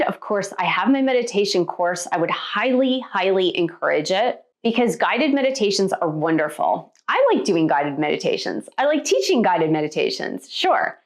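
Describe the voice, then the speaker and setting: An American woman speaking as a spiritual teacher in an emphatic, conversational way, addressing her viewers directly with motivational guidance. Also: mid-range voice. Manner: emphatic, conversational, with rhetorical check-in questions. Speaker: spiritual teacher and meditation coach.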